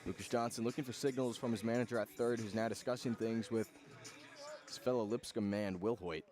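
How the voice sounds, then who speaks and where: A man doing live radio play-by-play of a baseball game in clipped phrases, with pauses between calls. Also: fairly low pitch, fairly even intonation, darkish tone, faint music behind. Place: outdoor ballpark broadcast.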